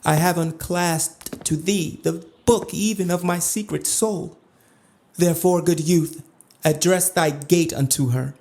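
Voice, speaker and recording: An actor playing a lovesick, grandiose duke in a classical audio drama, with languid declamatory verse. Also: male voice, on the high side, fairly even intonation, unhurried, darkish tone. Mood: eager, impassioned. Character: lovesick, grandiose, self-indulgent male duke.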